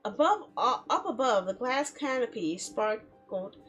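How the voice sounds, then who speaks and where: A woman streaming a game in a casual, expressive, chatty way, reading story text aloud and reacting to it. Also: a little high, measured pace, slightly rough, muffled recording. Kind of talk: casual live let's play, reading visual novel text aloud. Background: background music.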